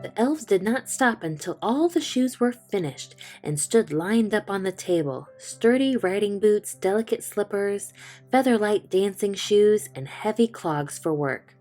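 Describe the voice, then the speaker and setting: A woman reading a children's storybook aloud, slow, gentle and expressive. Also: mid-range voice, soft background music. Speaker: children's book reader. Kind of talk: storytime read-aloud narration.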